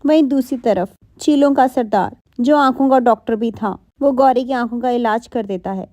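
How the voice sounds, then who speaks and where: A woman reads a scripted story for children in a high voice. The tone is mellow, and the recording is dry and close. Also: moderate pace, no echo. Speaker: narrator.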